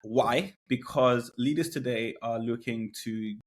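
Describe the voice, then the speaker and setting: A man's voice: mid-range voice, measured pace. A man speaking as a podcast guest about sales leadership, blunt and opinionated, often laughing between points. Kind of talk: casual business podcast chat.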